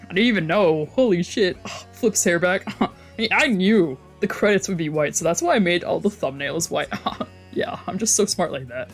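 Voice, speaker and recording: An excitable game streamer chatting casually in a mid-pitched voice. The talk rambles along with giggly asides, while game music plays behind it. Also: moderate pace, husky. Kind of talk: casual let's play commentary with giggly asides.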